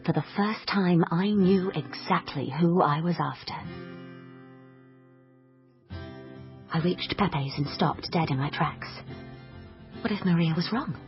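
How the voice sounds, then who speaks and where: A woman's voice: fairly low pitch, moderate pace, lo-fi sound, faint music behind, lightly acted. An actress playing a young woman who narrates her own adventure in a radio drama, confiding and dramatic. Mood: reflective, suspenseful. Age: young adult. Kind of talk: scripted first-person radio drama narration.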